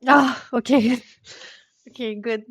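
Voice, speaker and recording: A woman's voice: on the high side, steady tone, takes it slowly, dry recording. A woman hosting a podcast, friendly and eager, sometimes tripping over her words. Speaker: podcast host. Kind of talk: podcast interview.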